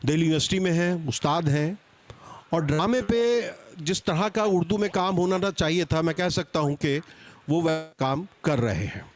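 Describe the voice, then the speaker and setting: Man, fairly high pitch, panel moderator, unscripted introductions, conversational, pausing and restarting. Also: faint music behind. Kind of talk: extempore seminar introductions. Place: public seminar at a book event.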